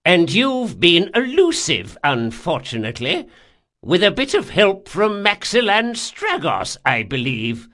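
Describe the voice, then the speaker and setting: A man's voice: high voice, wildly swooping pitch, a little slow, pushed and bright, pitched above the performer's own voice, heavily acted. A man narrating an audiobook, voicing a wizened, wealthy old man who is haughty and formal, bargaining indignantly. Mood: guarded, haughty. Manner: haughty, formal, pleading when frightened.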